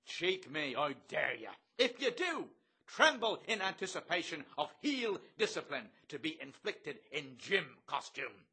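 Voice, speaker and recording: A man's voice: a little high, very animated, measured pace, pushed and bright, breathy edge, heavily acted. A man playing a sadistic, domineering bully of a brothel boss, bombastic and gloating, sliding from wheedling to savage in a theatrical literary drama.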